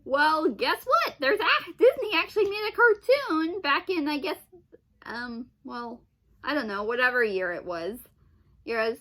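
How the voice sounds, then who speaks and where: A woman's voice: high-pitched, big pitch swings, a little slow, bright, forceful tone. A young woman giving casual, off-the-cuff video commentary in a rambling, hesitant way, in broken phrases with exclamations. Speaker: video host.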